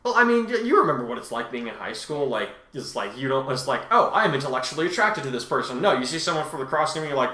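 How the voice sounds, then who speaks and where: A man's voice: medium pitch, very animated, fast, few pauses, bright, forceful tone. A man in casual, chatty conversation, answering reviews as a co-host in an informal way. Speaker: fan fiction author and co-host. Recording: echoey room.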